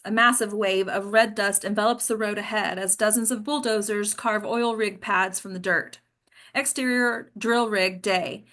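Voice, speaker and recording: A woman's voice: mid-range voice, a bit bright. A woman reading screenplay action lines as scripted narration at a table read. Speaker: stage directions reader.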